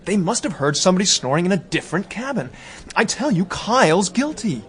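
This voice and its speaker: An actor playing an impulsive, excitable young American man who stammers when pressed. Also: high voice, brisk. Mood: eager. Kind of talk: radio drama acting.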